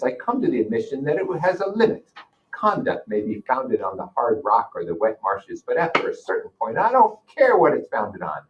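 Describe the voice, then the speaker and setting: A man teaching a class, reading a novel aloud and breaking off to explain it informally and put questions to his listeners. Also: medium pitch. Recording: dull, lo-fi audio.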